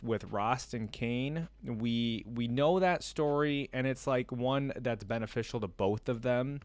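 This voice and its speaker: A man's voice: mid-range voice, no echo. A man hosting a reaction video, commenting casually and musingly with lots of conversational filler words. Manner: musing, casual, often says "like" and "you know". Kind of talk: casual commentary, reading a story aloud.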